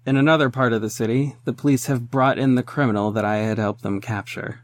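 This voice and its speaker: A man's voice: medium pitch, fairly even intonation, brisk, faint music behind, natural voice. A man narrating an audio drama as its reflective hero, in scripted storytelling style. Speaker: narrator and protagonist.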